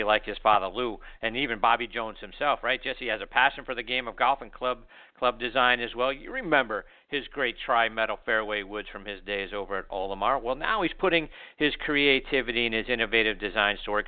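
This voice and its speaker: A man hosting a golf interview show and reading sponsor ads in an upbeat, enthusiastic way. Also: fairly low pitch. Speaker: podcast host. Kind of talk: interview and ad reads. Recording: tinny phone-like audio.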